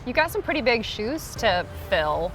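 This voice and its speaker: A woman's voice: on the high side, sing-song, moderate pace, faint music behind, poor audio. An American woman asking questions in a casual, conversational interview. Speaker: interviewer, reporter.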